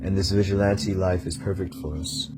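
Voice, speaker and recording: A man voicing a plain-spoken, convinced vigilante in an amateur animated series. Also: low voice, normal speed, music in the background, close to an everyday voice.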